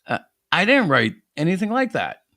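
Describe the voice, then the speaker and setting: A man's voice: high-pitched, huge pitch swings, moderate pace, pushed and bright, no echo, studio quality, full character voice. A man playing a defensive, foul-mouthed screenwriter who rants indignantly in a dramatic story reading. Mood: confused. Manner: ranting, sweary, indignant. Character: defensive, foul-mouthed hotshot male screenwriter, easily outraged.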